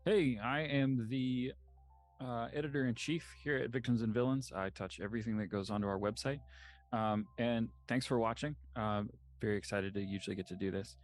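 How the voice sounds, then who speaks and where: A man's voice: medium pitch, steady tone, normal speed, soft background music. A man speaking casually to an audience as an editor and cast member signing off.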